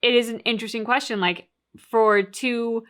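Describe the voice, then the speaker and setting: Woman with a very bright, crisp-edged voice. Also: a little high, fairly even intonation, normal speed. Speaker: co-host of a video game reaction show. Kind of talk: casual, analytical discussion of a game's story.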